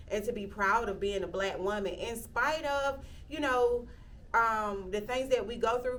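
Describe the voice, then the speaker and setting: A woman, speaking reflectively in an interview, often pausing with "um" and restarting sentences. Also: mid-range voice, fairly bright tone, recorded from a distance. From Athens, Georgia, US. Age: 30s. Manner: reflective, with frequent "um" fillers and restarts. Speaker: interviewee, local county commissioner. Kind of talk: casual interview talk.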